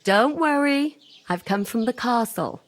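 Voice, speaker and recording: A woman's voice: on the high side, animated, measured pace. An actress playing a friendly, wry castle serving woman in a medieval video game. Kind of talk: video game cutscene acting.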